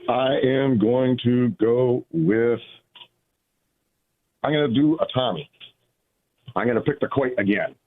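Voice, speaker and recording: Male caller, husky, on a tinny phone line, cocky. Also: mid-range voice, measured pace, pauses often. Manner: cocky, trash-talking.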